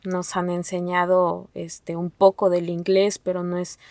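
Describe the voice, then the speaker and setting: A woman from Mexico whose first language is Nahuatl, speaking haltingly with many fillers and restarts. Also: fairly low pitch, completely flat delivery, a little mellow, dry recording. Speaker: asylum seeker. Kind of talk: testimony to an interviewer.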